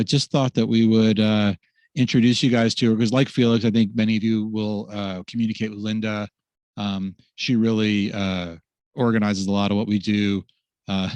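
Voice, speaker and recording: A man's voice: lowish voice, darkish tone, no echo. A man hosting a casual webinar, rambling with many fillers and restarts. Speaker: host.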